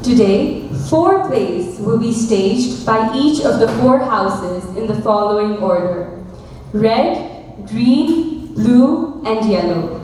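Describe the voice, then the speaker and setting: A woman's voice: on the high side, animated, takes it slowly, darkish tone. A woman making a formal, scripted announcement at a school event. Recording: echoey room, far microphone, faint noise.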